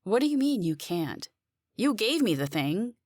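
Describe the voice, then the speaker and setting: A woman's voice: medium pitch, sing-song, a little slow, no room sound, studio quality, pitched above the performer's own voice. A woman playing an exasperated, bewildered woman caught in a supernatural mystery, sarcastic and demanding. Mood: alarmed, pleading.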